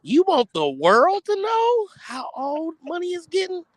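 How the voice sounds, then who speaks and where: An American man in his thirties chatting casually in heavy slang, bantering with an animated, theatrical air of protest. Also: very high-pitched, measured pace, slight background noise. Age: 30s. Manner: animated, dramatic mock protest.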